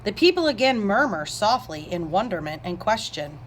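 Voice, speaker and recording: A woman's voice: mid-range voice, big pitch swings, normal speed, a little hiss or hum. A woman narrator reading scripted stage directions aloud.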